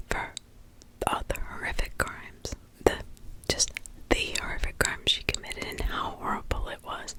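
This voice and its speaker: Whispering woman, close and emotional. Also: rough recording. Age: adult parent. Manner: whispering throughout, soft and emotional; halting, with many restarts and pauses. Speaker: true crime ASMR storyteller. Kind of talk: whispered true crime retelling.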